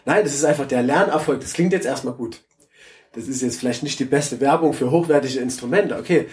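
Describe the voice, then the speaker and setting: A man's voice: medium pitch, brisk, a little mellow. A ukulele builder talking enthusiastically at a festival, often restarting and repeating words as he answers interview questions. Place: outdoor music festival.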